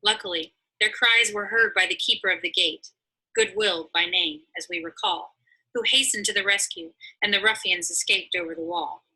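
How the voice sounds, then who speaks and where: Female read-aloud narrator, strikingly forceful tone, raspy, mid pitch, dramatic storybook delivery. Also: normal speed, low-quality recording. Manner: dramatic, expressive. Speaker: story reader.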